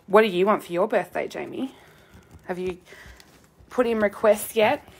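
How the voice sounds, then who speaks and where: A croaky young woman chats into her phone during a home live stream, her sore throat roughening the voice. She talks at a middling pitch and a natural pace, laughing between remarks and greeting viewers one after another. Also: normal speed. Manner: chatty, laughs often, greets commenters by name. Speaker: paper-craft demonstrator hosting a live stream. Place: quiet home, at her desk. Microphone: phone camera.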